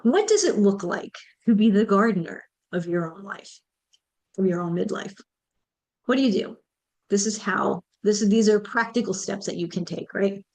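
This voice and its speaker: A woman's voice: mid-range voice, animated, normal speed, a little mellow. Woman in her fifties, encouraging podcast host. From United States. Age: 50s. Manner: encouraging, addresses listeners directly. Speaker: podcast host and menopause mentor. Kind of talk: motivational solo podcast talk.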